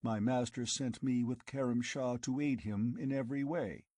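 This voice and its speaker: A man's voice: medium pitch, steady tone, moderate pace, mellow, no room sound, studio quality, lightly acted. A man reading an audiobook, voicing a turbaned male magician servant who speaks hesitantly and anxiously.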